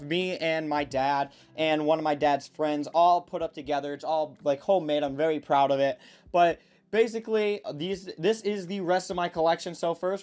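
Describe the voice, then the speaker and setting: Young male vlogger, slightly high voice, gushing casual delivery over background music. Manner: enthusiastic, hyperbolic. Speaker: YouTube host. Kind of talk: casual vlog chat. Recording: music playing behind the voice.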